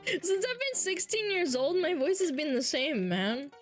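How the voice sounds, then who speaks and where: A woman's voice: extremely high pitch, big pitch swings, a little slow, faint music behind. A woman with a nasal voice, chatting casually on a livestream and reading viewer comments in a playful, teasing way. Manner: playful, teasing banter with frequent laughs.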